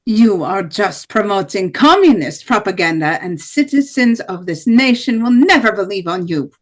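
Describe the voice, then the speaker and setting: A woman's voice: medium pitch, animated, normal speed, close to an everyday voice. A woman playing a hardline, defiant nationalist presidential candidate in an amateur table read of a debate.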